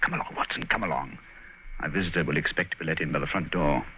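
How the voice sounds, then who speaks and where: An actor playing a brisk, self-assured English detective, authoritative and theatrical in 1940s radio drama style. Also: male voice, rumbling low. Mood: brisk, intent. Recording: tinny, hissy old radio broadcast.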